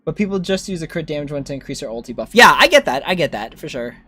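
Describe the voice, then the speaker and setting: Animated game streamer with lively pitch swings. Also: fast talker, breathy edge. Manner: informal, animated reactions to chat. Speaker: game livestreamer. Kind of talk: casual gaming stream chat, thinking aloud and replying to viewers.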